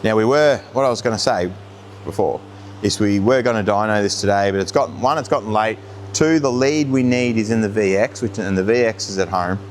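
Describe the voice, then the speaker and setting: A man's voice: lowish voice, fairly quick. An Australian man talking casually and off the cuff as a car workshop vlogger, laid-back and plain-spoken with colloquial phrasing. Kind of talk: casual unscripted vlog talk. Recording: clear background noise of cars.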